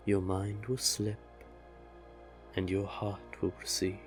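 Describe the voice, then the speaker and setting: Guy doing a male sea witch, real slow n low, sweet but possessive and manipulative, coaxing intimate audio roleplay. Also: level tone, faint music behind. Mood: hypnotic, coaxing. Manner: coaxing, unhurried, tender with pet names. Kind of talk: intimate scripted roleplay addressed to the listener.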